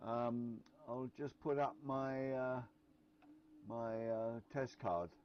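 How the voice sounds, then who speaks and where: A man on an amateur radio net, chatting casually and hesitantly with lots of pauses. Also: mid-range voice, fairly even intonation, lo-fi sound, slight background noise. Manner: hesitant, many fillers and restarts. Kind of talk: casual on-air chat.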